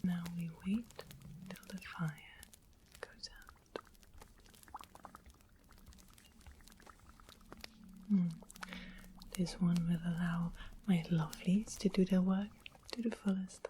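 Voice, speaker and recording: A sweetly sinister fantasy witch, acted out by a woman, coaxes the listener in a very slow whisper. Her tone is soft and mellow, coy and doting, in intimate ASMR roleplay style. A faint noise sits behind the voice. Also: on the low side, steady tone, close to an everyday voice. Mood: sweet, businesslike.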